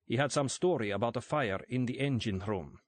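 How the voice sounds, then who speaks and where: A man's voice: mid-range voice, a little fast, no room sound, close to an everyday voice. A man voicing a nervous, deferential male Soviet subordinate with a Ukrainian accent, reading from an audiobook. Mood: dutiful report. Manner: eager, anxious, deferential.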